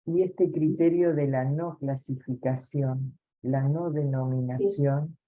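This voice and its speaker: A woman moderating an online session over a video call, calm and measured. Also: rumbling low, low-quality recording.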